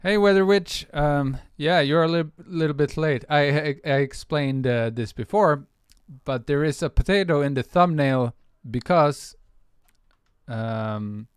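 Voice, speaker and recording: A man's voice: a little high, a little slow, smooth, no room sound, studio quality. A man with a Swedish accent, chatting loosely with viewers on a music livestream, full of fillers and restarts. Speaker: music producer streamer. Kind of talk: casual livestream chat.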